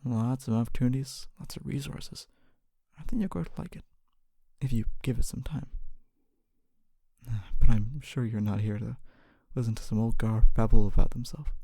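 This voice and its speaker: A performer voicing a kindly, humble fantasy city guard in a soft-spoken ASMR roleplay, often whispering, with a gentle, hesitant and reassuring manner. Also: mid-range voice, moderate pace, creaky, no echo. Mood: curious, encouraging, then gentle, chatty, hesitant. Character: kindly, humble city guard, wannabe knight, calming and helpful.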